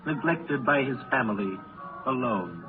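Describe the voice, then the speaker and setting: An ageless spirit acted by a man in a vintage radio play. He is grave, stately and commanding, with a middling pitch. Music sits behind the thin, narrow broadcast sound. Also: animated, a little slow, lightly acted. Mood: gentle probing. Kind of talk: 1930s radio drama acting.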